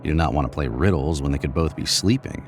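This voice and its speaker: A man's voice: rumbling low, fast, slight background noise. A man narrating a scripted horror story.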